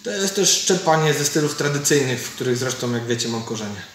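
A man's voice: mid-range voice, animated, a little fast, a bit bright. Man, informal instructor, explaining while demonstrating, very reverberant gym, faint noise. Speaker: martial arts instructor. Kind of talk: technique demonstration, explaining to camera. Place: large indoor MMA cage gym. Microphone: camera several metres away. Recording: echoey gym, distant mic, some noise.

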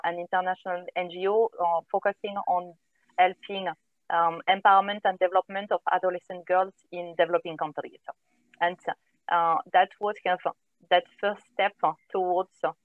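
A woman's voice: on the low side, no room sound. A woman in her thirties from northern France, with a French accent, speaking as an interview guest with many hesitant fillers. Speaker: guest, company co-founder. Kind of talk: video call interview. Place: home room, indoors. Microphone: wired earphone headset on video call. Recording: dry, tinny call audio.

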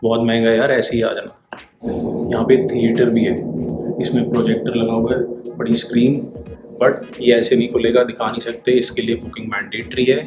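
A man's voice: medium pitch, flat delivery, fairly quick. Chatty man touring echoey rooms. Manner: chatty, mixes English phrases into Hindi. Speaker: vlogger giving a tour. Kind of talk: casual Hindi-English tour narration. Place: new apartment building, rooms and rooftop. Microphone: handheld action camera, wide angle. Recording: echoey empty rooms, music underneath.

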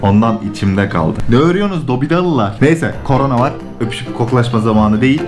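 A man's voice: mid-range voice, animated, fast talker. A man in his thirties hosting a vlog with casual, joking, teasing talk. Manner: playful, teasing. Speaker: vlog host at a vet clinic. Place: small indoor room and veterinary exam room. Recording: echoey small room, faint music.

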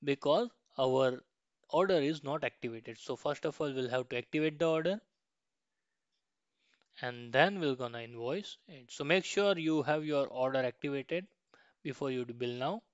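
A man's voice: mid-range voice, moderate pace, smooth, no room sound. Man, dull lo-fi recording. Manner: frequently ends points with a questioning "right". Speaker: software tutorial instructor. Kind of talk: screen-share tutorial walkthrough, informal step-by-step.

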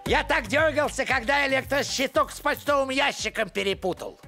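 A man's voice: extremely high pitch, a little fast. A man playing a grumpy, lovestruck older village postman in a cartoon, grumbling and theatrical. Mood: boastful. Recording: music bed behind the voice.

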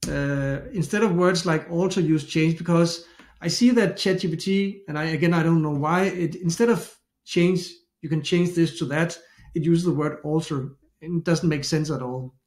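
A man's voice: a little high, moderate pace, a little mellow. A middle-aged man with a Danish accent, chatting through a screen-share tutorial and thinking aloud with frequent restarts. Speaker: SEO tutorial host. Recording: echoey room.

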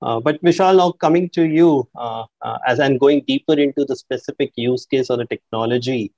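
A man's voice: medium pitch, moderate pace, a little mellow, dry recording. A man moderating a panel discussion on a video call, asking polite follow-up questions and handing over to speakers. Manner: polite, hedging follow-ups. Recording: dry, dull video-call audio.

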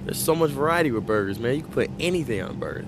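Man acting a jokey young American teammate, rumbling cabin. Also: lively intonation, rapid-fire, close to an everyday voice. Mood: joking. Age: young adult. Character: chatty young basketball teammate, jokey and laid-back. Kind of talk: naturalistic video game cutscene acting. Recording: loud rumble of vehicle cabin.

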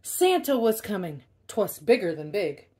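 A woman reading a children's picture book aloud in an expressive way, stressing key words. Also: medium pitch, measured pace, husky. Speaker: storybook reader.

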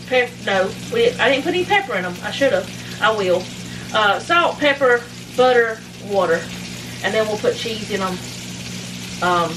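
A woman's voice: medium pitch, noisy background. A middle-aged woman, rambling casually with self-deprecating asides as she cooks supper in her home kitchen. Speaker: home cooking vlogger. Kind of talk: casual cook-along chat. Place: home kitchen with food frying. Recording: home kitchen with loud sizzling.

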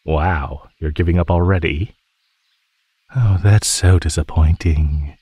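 A man voicing a teasing, menacing male naga in an ASMR roleplay, speaking in a mocking, condescending drawl with pauses. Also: deep voice, warm tone, breathy edge, no echo, exaggerated voice. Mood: bored, taunting. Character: teasing, menacing male naga predator toying with captive prey.

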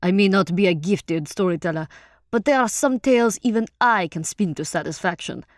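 A woman voicing a big, hearty male minstrel leader with a warm, rich voice and a playful boastfulness, in an audiobook story. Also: medium pitch, normal speed, dry recording, clean studio sound, lightly acted. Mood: storytelling.

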